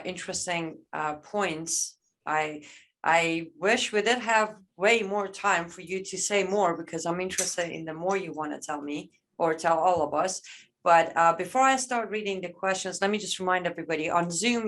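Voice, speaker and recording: A woman's voice: fairly low pitch, fairly bright tone. A woman hosting an online Q&A over a video call, speaking hesitantly with many fillers. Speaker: host. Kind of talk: moderating an online Q&A.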